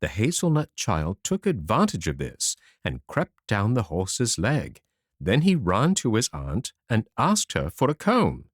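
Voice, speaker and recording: A man narrating a children's fairy tale audiobook in an expressive, animated storytelling manner. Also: fairly low pitch, unhurried, no echo, studio quality.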